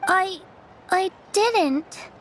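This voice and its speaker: A woman's voice: very high-pitched, lively intonation, slight background noise, full character voice. A woman playing a timid, frail little girl who stammers meekly in a video game. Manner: halting, stammering, meek. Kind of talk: video game character acting.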